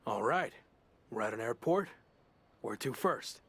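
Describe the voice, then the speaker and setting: A man playing a stubborn, down-on-his-luck pro wrestler in a video game, husky-voiced, with a dry, weary, defensive manner. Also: medium pitch, animated, measured pace, a bit bright, heavily acted. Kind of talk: video game cut-scene acting.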